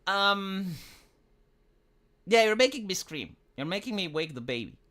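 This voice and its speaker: A man's voice: sits high, very animated, unhurried, bright, forceful tone. A man from Romania chatting casually on a livestream, rambling and cursing with frequent stumbles and restarts. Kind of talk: casual streaming chat.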